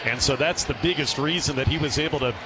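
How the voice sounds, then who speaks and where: A man's voice: on the high side, quick pace, noisy background. A man doing baseball play-by-play on the radio, with clipped, descriptive calls that rise on the action. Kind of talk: radio sports commentary.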